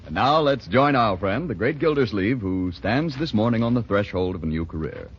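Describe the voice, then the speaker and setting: A dull vintage radio recording, with faint music, carries a male announcer. He has a husky, fairly high voice and a polished, earnest 1940s sales delivery. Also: lively intonation, moderate pace.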